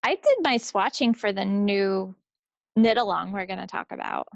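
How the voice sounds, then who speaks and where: A woman's voice: medium pitch, moderate pace, creaky, no room sound, muffled recording. A woman co-hosting a craft podcast in a casual, conversational style. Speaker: podcast co-host. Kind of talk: casual chat about craft projects.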